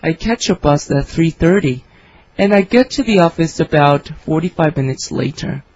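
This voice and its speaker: A woman reading her part in a scripted English conversation for learners, with clear, careful delivery. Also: low voice, animated, normal speed, darkish tone. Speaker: dialogue reader for English learners. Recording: dry, slightly dull studio recording.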